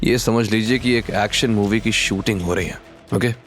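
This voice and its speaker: A man's voice: on the low side, normal speed, fairly bright tone, faint music behind, close to an everyday voice. A man voicing a confident, menacing male action hero in an audio drama, taunting and threatening without hurry. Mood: calm, dry.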